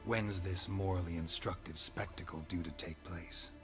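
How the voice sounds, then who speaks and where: An actor playing a stoic, world-weary monster hunter in a video game, terse and deadpan. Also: male voice, sits low, moderate pace, soft background music, lightly acted. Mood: terse, subdued.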